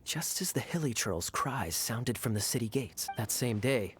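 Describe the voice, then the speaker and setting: An actor voicing a smooth, flirtatious male cavalry captain who speaks in a suave, teasing, unhurried way. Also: medium pitch, lively intonation, a little hiss or hum, close to an everyday voice. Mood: sly.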